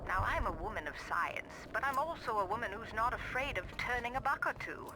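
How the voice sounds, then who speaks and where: A woman's voice: fairly high pitch, animated, fairly quick, lightly acted. An actress playing a brash middle-aged woman scientist in a game audio diary, wry, earthy and boastful. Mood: shrewd, chatty. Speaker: botanist recording audio diaries. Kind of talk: dramatic audio diary monologue in a video game. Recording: noisy old radio-like audio diary.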